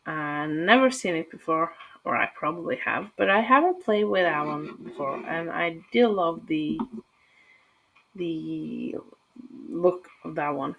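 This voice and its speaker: A woman's voice: sits low, unhurried, gravelly, lo-fi sound, a little hiss or hum. A woman from Norway with a Norwegian accent, talking casually and hesitantly through a game build with many fillers. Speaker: gaming channel host.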